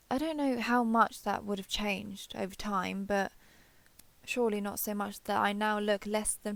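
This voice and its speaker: Casting: vain, imperious female dragon played by a woman for audio roleplay; theatrical, formal, gravelly edge, close dry sound. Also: mid-range voice, no room sound. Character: grand, vain female dragon, menacing yet eager and socially clueless. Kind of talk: scripted audio roleplay addressed to listener.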